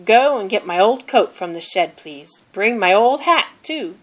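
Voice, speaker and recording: A woman's voice: medium pitch, expressive, measured pace. A woman reading the part of a practical farmer dad in a children's storybook, giving plain, simple instructions. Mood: matter-of-fact.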